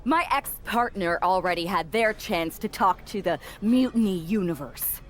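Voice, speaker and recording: A woman's voice: medium pitch, animated, moderate pace, lightly acted. A woman voicing a betrayed, defiant female wrestler giving an accusing, resolute promo in a wrestling video game. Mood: scornful, defiant.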